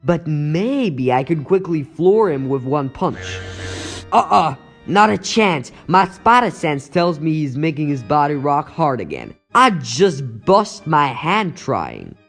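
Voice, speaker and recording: Guy doing young teen superhero, highish animated voice, wisecracky but anxious, dramatic thinking out loud, comic dub. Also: slow, faint music behind, heavily acted. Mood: determined. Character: wisecracking teenage male superhero, earnest and anxious. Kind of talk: comic book dub voice acting.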